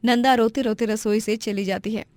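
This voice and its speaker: A woman's voice: on the high side, fairly even intonation, moderate pace, darkish tone, dry recording. A woman narrating a scripted moral story as the storyteller.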